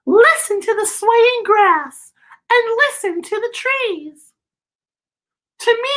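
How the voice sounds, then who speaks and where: A woman voicing a small, kindly, wise storybook cricket, speaking gently and encouragingly. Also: very high-pitched, pitched above the performer's own voice, full character voice, poor audio. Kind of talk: children's storybook read-aloud.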